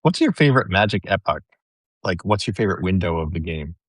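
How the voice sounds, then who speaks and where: A man hosting a podcast, asking questions and chatting in a relaxed, wry way. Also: low-pitched, quick pace, dry recording. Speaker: podcast host. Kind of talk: casual interview chat.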